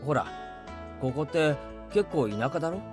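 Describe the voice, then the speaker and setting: A man playing a casual, brash teenage boy in a visual novel, offhand and rough-spoken. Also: on the high side, animated, quick pace, music in the background, lightly acted. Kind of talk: Japanese visual novel voice acting.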